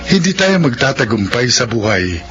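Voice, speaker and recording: Male announcer for radio serial: scripted read, animated intonation, slightly muffled broadcast with faint music. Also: medium pitch, moderate pace. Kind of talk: scripted narration and cast credits.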